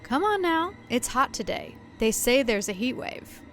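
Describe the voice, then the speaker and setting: A woman's voice: a little high, very animated, measured pace, fairly bright tone, faint music behind, pitched above the performer's own voice, heavily acted. A woman voicing a smug, overly familiar man on a farm who talks in a boastful, folksy and pushy way.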